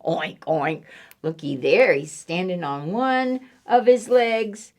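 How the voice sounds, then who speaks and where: A woman's voice: on the low side, slow. A woman voicing disapproving, grumbling pigs in a picture book, muttering between oinks.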